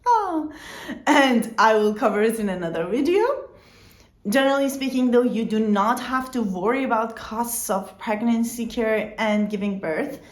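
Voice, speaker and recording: A woman's voice: fairly high pitch, moderate pace, echoey room. A young woman, not a native English speaker, explaining things casually in a vlog with fillers and self-corrections. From outside the Netherlands. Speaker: vlogger.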